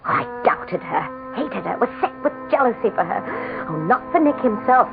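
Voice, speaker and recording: An actress playing a vain, desperate, scheming politician's wife in a melodramatic radio drama, with theatrical, anguished and imperious delivery. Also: female voice, on the high side, lively intonation, moderate pace, vocal fry, over music. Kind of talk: melodramatic radio drama acting and first-person narration. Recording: muffled lo-fi vintage radio broadcast.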